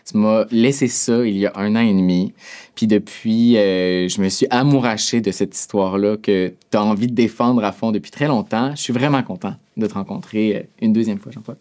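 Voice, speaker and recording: A man's voice: fairly low pitch, normal speed. A man, hosting a theatre interview and often cutting in with short agreeing words. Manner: warm, encouraging, with frequent short affirmations. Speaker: theatre artistic director hosting. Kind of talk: casual interview.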